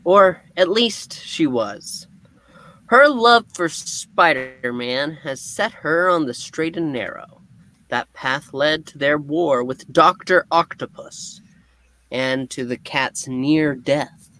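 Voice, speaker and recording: A man serves as narrator for a comic book reading. His pace is slow and his pitch slightly high. He mixes scripted narration with casual, offhand asides. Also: pushed and bright, rough recording.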